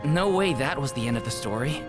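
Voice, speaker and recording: Man voicing an animated, easygoing young hero. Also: medium pitch, brisk, lightly acted. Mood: decisive. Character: easygoing, practical young male hero leading friends. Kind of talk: video game voice acting. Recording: game music behind the voice.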